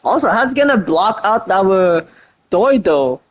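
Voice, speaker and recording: A woman streaming a game with casual, informal, reactive commentary and an excitable manner. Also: medium pitch, unhurried.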